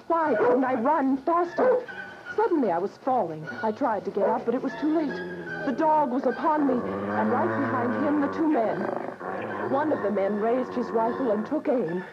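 A woman's voice: on the high side, very animated, normal speed. Actress as devout young fugitive woman, muffled recording. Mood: frightened, urgent. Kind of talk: first-person radio drama narration. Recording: dull lo-fi radio drama, music behind.

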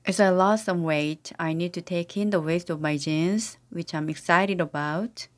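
A synthetic woman's voice reading English practice sentences for a language lesson, in an even, steady delivery. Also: on the low side, unhurried. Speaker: language teacher voice. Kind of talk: scripted English drill sentences.

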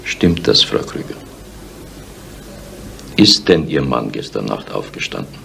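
An actor playing an earnest, solemn village confidant with measured, formal delivery in a seventies radio crime drama. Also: male voice, a bass voice, expressive, gravelly, a bit bright, full character voice. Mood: solemn, probing. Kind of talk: 1970s German radio crime drama acting. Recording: old radio recording with faint noise.

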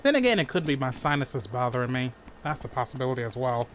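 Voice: mid-range voice, sing-song, fast talker, a bit bright, a little hiss or hum, rough recording. A gamer giving casual let's play commentary, with a nose stuffed up from a cold. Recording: voice-over with game music behind. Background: video game music and sounds.

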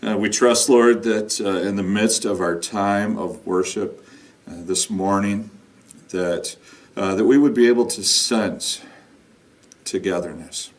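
A middle-aged man, a pastor preaching and praying informally in a halting, deliberate way, recorded indoors at some distance from the microphone. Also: fairly low pitch. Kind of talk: informal sermon and prayer. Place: indoor lounge with couch and brick wall.

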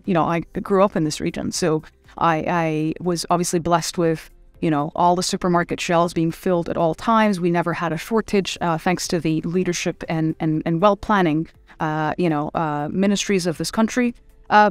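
A woman's voice: on the low side, no room sound. Thoughtful woman, dry studio sound. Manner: thoughtful, many fillers and restarts. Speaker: private equity managing director, guest. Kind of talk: conversational interview. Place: studio set with city backdrop. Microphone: desk microphone.

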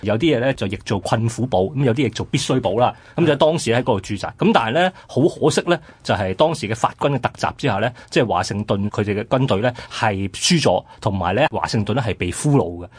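A man's voice: medium pitch, expressive, quick pace, few pauses, husky, dry recording. A man with a Hong Kong Cantonese accent explaining history conversationally as a radio co-host.

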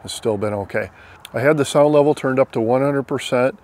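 A man's voice: mid-range voice, a little fast, darkish tone. A man in his fifties with an American accent gives a plainspoken, explanatory demonstration and review in a conversational style. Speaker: product reviewer and drone pilot. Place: outdoors on an open lawn. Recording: outdoor recording with background noise.

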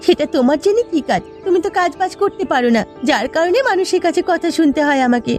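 Very high-pitched, aggrieved village housewife played by a woman. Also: lively intonation, fast, darkish tone. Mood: upset, aggrieved. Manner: complaining, dramatic pleading. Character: aggrieved, weary village housewife, fretful but caring. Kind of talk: Bengali cartoon voice acting. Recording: music behind the voice.

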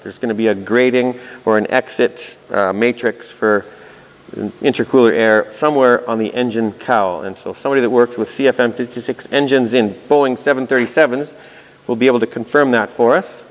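A man giving an informal technical walkthrough, reading aloud and thinking out loud with dry asides. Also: mid-range voice. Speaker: host of a technical explainer video. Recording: reverberant room with long echo.

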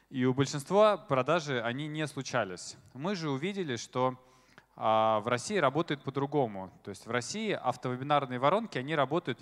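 A man's voice: mid-range voice, a bit bright. A man in his thirties giving a live conversational stage talk into a handheld microphone, with lots of fillers and questions to the audience. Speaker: conference speaker. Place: large stage in a hall. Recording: reverberant hall.

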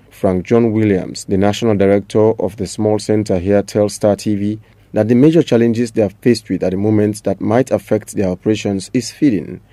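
Male TV reporter, Sierra Leonean accent, low mellow voice, dry close narration. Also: dry recording. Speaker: television news reporter. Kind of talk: scripted news report narration.

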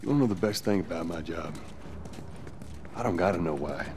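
An actor playing a gruff, cynical middle-aged male smuggler in a game, who talks tersely and dryly with a sarcastic edge. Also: low voice, expressive, fairly quick, background noise. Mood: curt.

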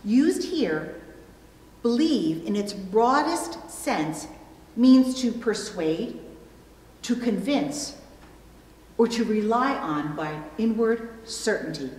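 A middle-aged woman pastor delivering a sermon in a measured, deliberate way, recorded in a church room. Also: on the high side, expressive, a little mellow. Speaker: pastor preaching. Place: indoor church room at a pulpit. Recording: echoey hall, long reverb, distant mic.

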